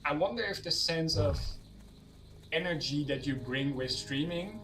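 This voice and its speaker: A man's voice: on the high side, animated, a little slow, a bit bright, recorded from a distance, low-quality recording. A man chatting on voice chat, hesitant and searching for words as he talks. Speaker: guest on voice chat. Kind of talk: casual chat.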